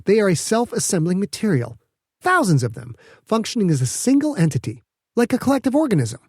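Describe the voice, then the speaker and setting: A man voicing an eager, higher-pitched young woman inventor. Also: big pitch swings, brisk, a little mellow, husky, no echo, clean studio sound, exaggerated voice. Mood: explaining eagerly. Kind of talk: audiobook character dialogue.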